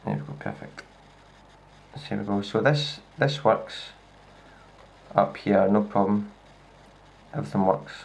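A man with a Scottish accent gives a casual, hands-on demo of a laptop, talking in a relaxed way with lots of fillers and restarts, recorded on a handheld camera indoors. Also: on the low side, a little slow, vocal fry, long pauses, slight background noise, low-quality recording. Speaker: tech reviewer. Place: indoors at a wooden table. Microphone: handheld camera filming a laptop screen.

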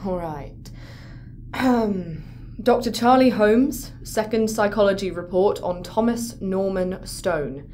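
An actress playing a patient, formal crew psychologist, measured and professional, in an audio drama. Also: female voice, mid-range voice, dark tone, slight background noise, lightly acted. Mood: patient, probing.

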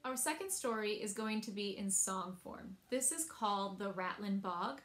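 A woman's voice: mid-range voice, lively intonation, moderate pace, music in the background. Woman, thirties, children's story time presenter. Simple, explanatory delivery, recorded at home about a metre from the mic. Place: small indoor room at home.

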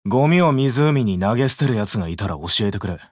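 An actor playing a cool, blunt young lake guide in an anime mobile game, with a laconic, casual manner. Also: male voice, lowish voice, expressive, fairly quick, darkish tone, no room sound, clean studio sound.

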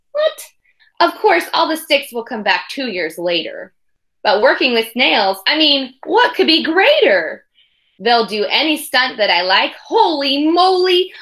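An excitable little schoolboy out of a picture book, acted out by a woman. The voice is high and bright, swinging widely in pitch. He boasts of grand dreams with eager, emphatic delivery. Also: exaggerated voice. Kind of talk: picture-book read-aloud.

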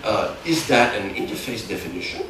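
A middle-aged man with a German accent giving a technical workshop talk in a large hall, explaining in a halting, self-correcting way. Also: fairly low pitch, animated. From Germany, near the Dutch border. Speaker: conference speaker. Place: large darkened conference hall. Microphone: far camera. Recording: echoey hall, distant mic, background noise.

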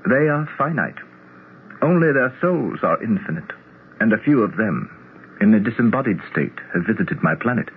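An actor playing a courtly, self-assured immortal alien prince in a 1970s radio drama, speaking formally and with stately certainty. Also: male voice, on the low side, very animated, normal speed. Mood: lofty, calm. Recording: tinny, noisy 1970s radio broadcast.

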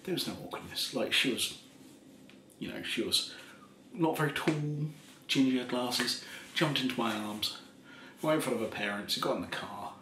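A man's voice: medium pitch, animated, normal speed, long pauses, bright, forceful tone. 40 yr old guy rambling thru a story, laughs at himself, echoey kitchen, lil bit of hiss. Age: 40s. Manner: rambling, laughs often, self-mocking. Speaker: ASMR creator. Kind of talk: casual soft-spoken storytelling. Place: small kitchen indoors.

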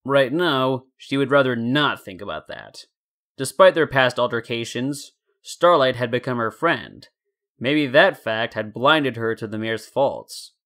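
A man narrating a scripted story in a deliberate, dramatic storytelling manner. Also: medium pitch, a bit bright. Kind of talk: scripted fan fiction narration.

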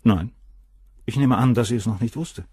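A man's voice: medium pitch, normal speed, warm tone, slightly rough, no echo, studio quality. An actor in a German crime radio play playing a cold, cynical middle-aged surgeon, detached and coolly superior as he answers questions. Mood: detached, explanatory.

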